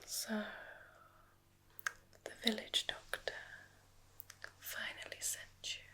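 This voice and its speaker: A woman voicing a knowing, teasing forest witch healer in a soft ASMR roleplay whisper, coaxing with murmured questions. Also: slow, lightly acted.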